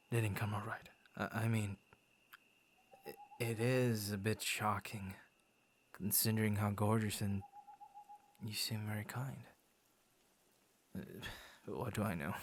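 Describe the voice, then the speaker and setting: A man playing a gentle, shy young werewolf in an intimate ASMR roleplay, speaking softly and breathily, often near a whisper, with hesitant stammers. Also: on the low side, slight background noise. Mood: surprised, awkward. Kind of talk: ASMR roleplay, intimate soft-spoken acting.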